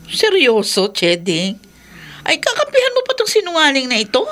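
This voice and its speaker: An actress playing a nagging, suspicious, exasperated wife in a Filipino radio drama, scolding sharply. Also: female voice, high-pitched, huge pitch swings, moderate pace, fairly bright tone, exaggerated voice. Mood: incredulous, scornful.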